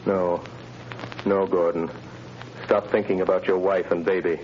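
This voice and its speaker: A man playing a mysterious invisible crime fighter, speaking in measured, authoritative commands in 1930s radio drama style. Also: low-pitched, flat delivery, highs cut off. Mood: commanding. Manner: measured, hypnotic, authoritative instructions. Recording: scratchy, hissy 1930s radio broadcast.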